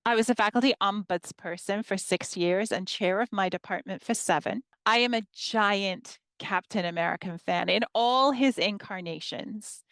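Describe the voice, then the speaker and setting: Smooth-voiced woman presenting to teachers. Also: mid-range voice, no room sound. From northern England. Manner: warm, measured presenting, reading slides aloud. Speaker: history professor giving a teaching workshop. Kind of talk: presentation to educators.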